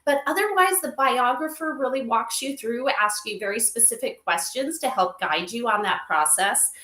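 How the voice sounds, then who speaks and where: A woman's voice: on the high side, expressive, normal speed, sharp tone. A woman speaking as an interview guest, explaining her company's work in a conversational way. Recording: echoey room sound.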